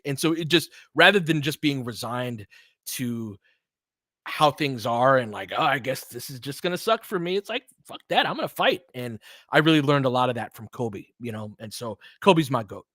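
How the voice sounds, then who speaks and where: A man's voice: medium pitch, a bit bright, no room sound. American man, dry-sounding casual basketball commentary. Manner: conversational, analytical, explains tactics. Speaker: basketball commentator and host of a live stream. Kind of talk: casual live play-by-play commentary with chat.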